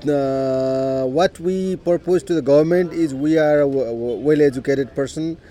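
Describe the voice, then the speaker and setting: A middle-aged man with a Nepali accent, telling his life story in an unhurried way, with frequent "you know" and throat clearing. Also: fairly high pitch, dark tone. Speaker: interviewee. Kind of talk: personal life story told in conversation.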